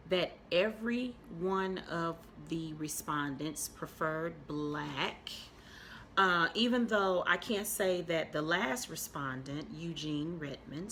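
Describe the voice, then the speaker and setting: A woman host giving reflective commentary in a thoughtful, measured way. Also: fairly low pitch, sharp tone, slight background noise. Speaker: host and interviewer.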